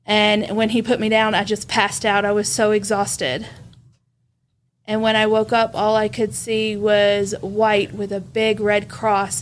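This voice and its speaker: A woman interpreting a guest's life story aloud in first person on a church podcast, halting and with restarts. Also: mid-range voice, slight background noise. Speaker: sign language interpreter voicing the guest.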